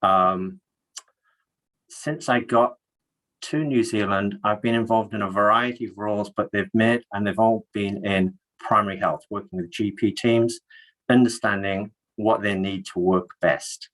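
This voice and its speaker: A man's voice: on the low side, a little slow, fairly bright tone, poor audio. Middle-aged man, northeast English accent. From northeast England. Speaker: network development manager, webinar guest. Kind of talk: live webinar introduction.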